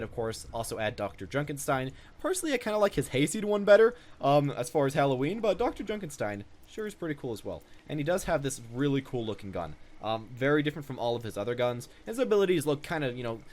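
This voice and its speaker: A young man giving casual, rambling off-the-cuff commentary as a gaming video host. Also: medium pitch.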